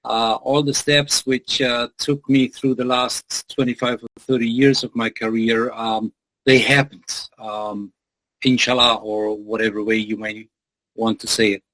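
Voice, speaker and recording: A man's voice: medium pitch, little pitch movement, measured pace, fairly bright tone, no echo, low-quality recording. A middle-aged man from Germany, a German native speaker, answering interview questions in a reflective way with many fillers. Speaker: guest, business lecturer.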